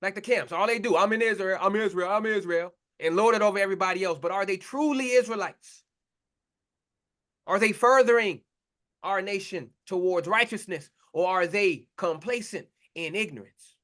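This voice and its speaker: A man's voice: high-pitched, moderate pace, a bit bright. A man preaching a confrontational sermon, blunt and mocking, in slangy talk to his listeners.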